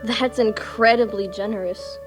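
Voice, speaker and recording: A woman's voice: medium pitch, fairly even intonation, unhurried, faint music behind, lightly acted. A woman playing a tender, reassuring mother of a young boy in a children's puppet show. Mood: pleasantly surprised.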